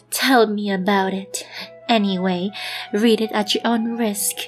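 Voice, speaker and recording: A woman's voice: medium pitch, fairly even intonation, slow pace, natural voice. A woman voicing a proud, irritable female scholar in a fan-dubbed anime game, with a haughty, theatrical manner. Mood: exasperated. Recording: background music.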